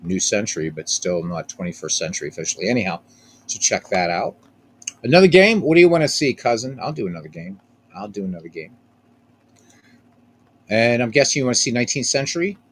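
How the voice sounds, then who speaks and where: A man's voice: fairly low pitch, expressive, fairly quick, a little hiss or hum. A man hosting a casual live stream of a baseball game, rambling, repeating himself and chatting with viewers by name.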